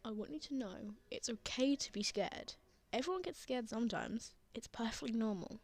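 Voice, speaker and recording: A woman playing a gentle, reassuring father who comforts a frightened child in a tender ASMR roleplay. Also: fairly high pitch, lively intonation, normal speed. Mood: tender, soothing. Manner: soothing, tender, earnest.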